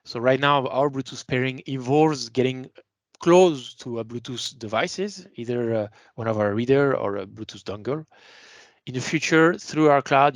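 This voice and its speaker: A man's voice: medium pitch, dry recording. A man giving an explanatory product webinar as a sales presenter, talking informally and stressing his key words.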